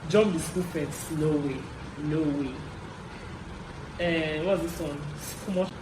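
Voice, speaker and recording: A young adult man with a Nigerian accent tells stories casually, in an animated way, repeating phrases for emphasis as a vlogger. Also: fairly high pitch, takes it slowly. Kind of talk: casual story-time chat. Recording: roomy echo, clear background noise.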